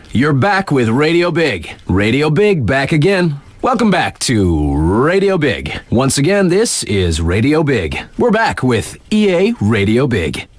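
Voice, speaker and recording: A man's voice: mid-range voice, no room sound. A man playing a cool, cheeky young radio DJ in a video game, delivering conversational sports-circuit patter with laid-back hype and teasing asides. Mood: upbeat announcing. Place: dry studio booth.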